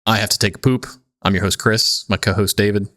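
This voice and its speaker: Low-pitched man hosting, dry close sound. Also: steady tone, a little fast, pushed and bright, no echo. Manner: crude, joking. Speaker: podcast host. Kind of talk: casual comedic banter.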